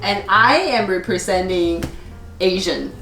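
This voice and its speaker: A woman's voice: on the low side, steady tone, measured pace, a bit bright. Cheerful woman, roomy echo. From Taiwan. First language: Mandarin. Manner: cheerful, laughs often. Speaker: dating vlog host. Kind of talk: casual chat to camera. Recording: roomy echo, faint music.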